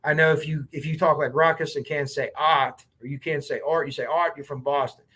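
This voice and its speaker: A man's voice: on the high side, fairly even intonation, brisk, pushed and bright, slightly rough. A man with a Pittsburgh accent hosting a true crime livestream, casually reading court documents aloud and talking bluntly to his viewers. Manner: blunt, chatty, swears, talks to viewers and chat. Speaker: true crime show host.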